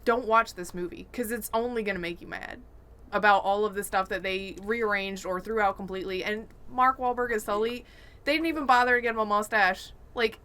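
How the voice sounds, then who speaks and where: A woman's voice: on the high side, pushed and bright. A woman co-hosting a podcast, talking casually and giving opinions in an exasperated, emphatic way. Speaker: podcast co-host.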